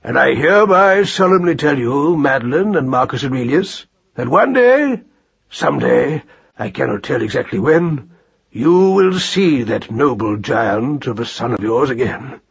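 A man's voice: a little high, big pitch swings, measured pace, vocal fry, a bit bright, slightly rough, lo-fi sound, heavily acted. A man voicing a kindly, sensible uncle rabbit who is plain-spoken and authoritative. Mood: solemn.

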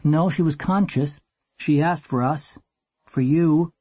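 A man's voice: on the high side, warm tone, slightly rough, no room sound. A man voicing an intent, talkative girl in an audiobook, rattling on and easily irritated. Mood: intent, chatty. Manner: rattling on without pause.

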